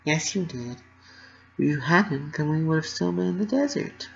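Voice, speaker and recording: A man voicing a cheerful, comforting young female cartoon pony, gentle and playful, on a dull recording. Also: fairly high pitch, expressive, moderate pace, gravelly, voice pushed up.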